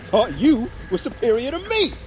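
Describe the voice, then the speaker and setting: A man's voice: very high voice, wildly swooping pitch, normal speed, full character voice. An actor playing a bitter, obsessed middle-aged father in an audio drama, defiant and menacing. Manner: intense, defiant, swearing. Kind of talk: audio fiction drama acting.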